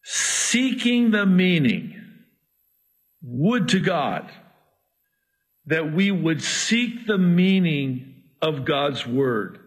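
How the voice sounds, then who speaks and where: A middle-aged man whose first language is Arabic, preaching through scripture with lively asides. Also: fairly high pitch, drawn-out words, long pauses, breathy edge. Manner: animated, jumping from scripture reading to asides. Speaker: pastor. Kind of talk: expository sermon.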